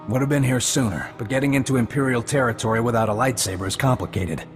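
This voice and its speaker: Lowish male voice, actor as dry intelligence commander, authoritative, composed, game dialogue, hall reverb, faint music. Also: quick pace, close to an everyday voice. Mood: matter-of-fact. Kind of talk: video game voice acting.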